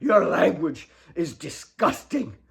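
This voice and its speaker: Man playing an old father in an audiobook, stern, gruff, gasping, exasperated, pitched up. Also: very animated, takes it slowly, exaggerated voice. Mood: angry, exasperated. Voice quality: gasping, coughing delivery.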